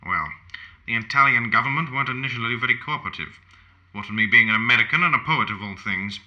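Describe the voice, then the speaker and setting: An actor playing an aging, self-assured fascist poet in an audio play, genteel and formal in manner. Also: male voice, mid-range voice, fast, sharp tone, dull audio. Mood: reminiscing.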